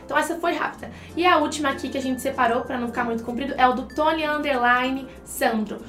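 A woman's voice: high-pitched, quick pace, a bit bright, echoey room, faint music behind. A Brazilian woman co-hosting an online English lesson, chatting to viewers as she explains things with examples. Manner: explanatory, addresses viewers directly, uses examples. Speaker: English teacher and co-host. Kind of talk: conversational language lesson for online video.

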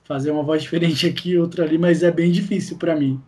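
A man's voice: fairly high pitch, steady tone, fast, a crowd in the background. A Brazilian man speaking casually as a guest in a podcast interview. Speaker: podcast guest, audio drama creator.

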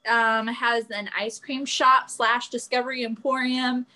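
Woman, high-pitched, bright clear-cut tone, podcast panelist, enthusiastic casual explaining. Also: little pitch movement, measured pace. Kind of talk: casual chat.

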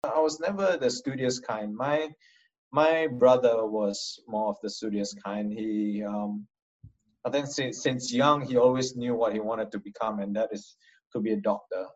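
A man's voice: medium pitch, a little slow, lo-fi sound. A man from Indonesia, a startup founder, answering interview questions casually with frequent restarts and fillers.

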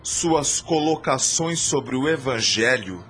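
Wicked convent chaplain, Brazilian accent, haughty, formal, mid-pitched, radio drama. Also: male voice, lively intonation, slow, a bit bright, soft background music. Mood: indignant, haughty. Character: arrogant, wicked friar newly sent as convent chaplain.

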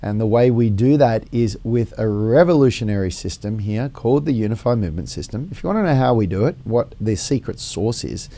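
Man, hoarse and quiet, low warm voice, rambling fitness podcast host. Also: animated, a little fast, few pauses. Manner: rambling with many fillers and restarts. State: lost his voice, speaking quietly. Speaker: gym co-founder and podcast host. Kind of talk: casual podcast talk.

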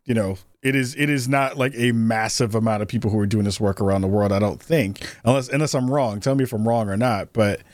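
A man's voice: lowish voice, fairly quick, hardly any pauses, dry recording. Enthusiastic man hosting an interview. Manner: enthusiastic, asks long rambling questions. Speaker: podcast host. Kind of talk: conversational interview.

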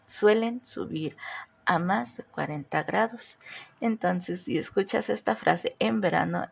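Slow, gravelly Mexican woman podcaster. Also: fairly low pitch. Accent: Mexican Spanish, mixed Mexico City, Morelos and northern. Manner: chatty, asks listeners questions. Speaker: podcast host. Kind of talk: casual podcast monologue.

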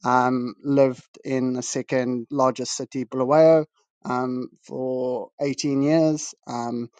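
A man from Zimbabwe, a guest on a video call, tells his story in a loose, modest ramble and keeps circling back on his own words. His voice sits mid-pitch and stays flat. The sound is dry and close. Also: takes it slowly, no room sound, muffled recording. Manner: rambling, self-restarting, modest. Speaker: guest artist. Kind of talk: casual video-call interview. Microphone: video call over a weak connection.

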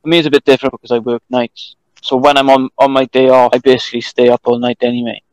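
A man with a Welsh accent, from Wales, talking calmly and carefully as a podcast guest. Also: mid-range voice, clean tone, dry recording, poor audio. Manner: calm, measured, holding back swearing. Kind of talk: casual chat.

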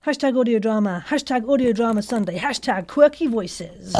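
A woman's voice: on the high side, moderate pace, a little mellow, a little hiss or hum. A woman hosting a chatty podcast, speaking in an effusive, meandering way. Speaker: podcast host and audio drama voice actor.

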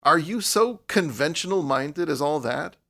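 A reckless young man from an audiobook, played by a male reader, speaking bluntly. His voice is slightly gravelly, with a rough, insistent temper. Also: on the high side, animated, measured pace, fairly bright tone, voice pushed up, full character voice. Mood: indignant. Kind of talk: audiobook character voice.

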